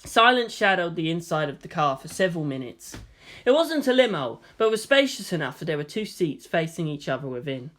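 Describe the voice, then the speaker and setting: Storybook narrator with a medium-pitched voice. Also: a bit bright. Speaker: fan fiction reader.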